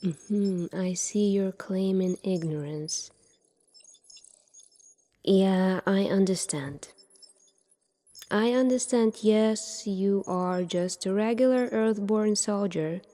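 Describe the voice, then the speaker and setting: Slow, soft-spoken woman in ASMR doctor roleplay. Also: medium pitch, no room sound. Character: calm, secretive futuristic military doctor.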